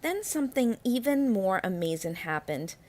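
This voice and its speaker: A woman reading a children's picture book aloud as narrator, with expressive, emphatic phrasing that stretches out key words. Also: mid-range voice, moderate pace.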